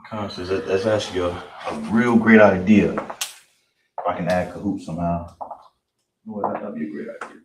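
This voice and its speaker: A man teaching a live-streamed online language class in a relaxed way, often checking in with "you understand?" and "all right?". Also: low-pitched, normal speed, pauses often. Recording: roomy, distant, faint hiss.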